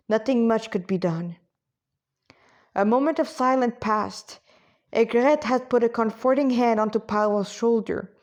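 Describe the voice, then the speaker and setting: A woman with a fairly high voice narrates a story. Her delivery is informal and homemade, with stumbles, corrections and casual asides to listeners. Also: a little mellow, lo-fi sound. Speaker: amateur storyteller reading own fan fiction.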